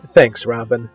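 A man playing a wry writer with a theatrical manner in a comedy audio drama. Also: mid-range voice.

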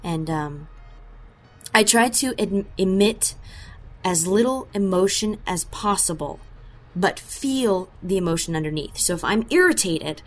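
A woman's voice: medium pitch, expressive, a little slow, soft background music. A woman who voices a character in an audio drama, answering interview questions in a thoughtful, rambling way with many fillers and restarts.

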